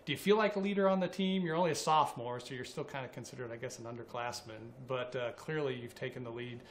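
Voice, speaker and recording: A man's voice: medium pitch, fast, slight background noise. A man hosting a college sports interview, asking questions in a friendly, conversational way with fillers. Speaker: interviewer host.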